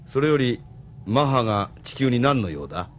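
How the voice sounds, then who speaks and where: A man's voice: mid-range voice, very animated, normal speed, a little hiss or hum, close to an everyday voice. An actor in a Japanese audio drama playing a sardonic, unruffled retired rebel in his seventies, speaking with blunt familiarity. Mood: sardonic, challenging. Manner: familiar, gruffly worldly, sardonic. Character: wry, unruffled 75-year-old retired male rebel activist.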